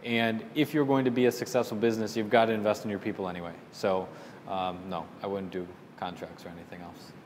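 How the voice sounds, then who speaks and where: Man, show host, casual unscripted advice, opinionated, explanatory, reverberant room, faint low rumble. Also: flat delivery, quick pace. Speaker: host answering listener questions on salon business. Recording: roomy reverberant hall, low rumbling noise.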